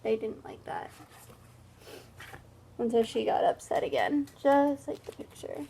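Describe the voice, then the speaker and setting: Lady teacher doing a read-aloud, high voice, slow pace, stops to explain stuff kinda haltingly. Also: gravelly, mellow, slight background noise. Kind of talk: picture book read-aloud with simple explanations.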